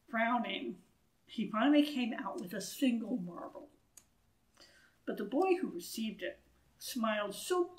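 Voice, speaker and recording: A woman in her sixties reading a children's story aloud in an expressive, deliberate way, with asides to listeners, recorded indoors a few feet from the camera. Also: a little high, poor audio. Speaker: storybook reader. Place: wood-panelled church room, indoors. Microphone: camera a few feet away.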